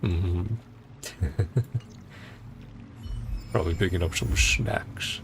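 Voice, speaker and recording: A man's voice: low-pitched, very slow, music in the background. A man livestreaming a video game, chatting casually in rambling asides and reading dialogue aloud. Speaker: game streamer.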